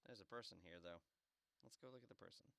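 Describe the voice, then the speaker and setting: Wry man with a low voice. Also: quick pace, vocal fry, fairly bright tone, slight background noise. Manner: sarcastic, wry. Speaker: game player and commentator. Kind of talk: casual let's-play banter.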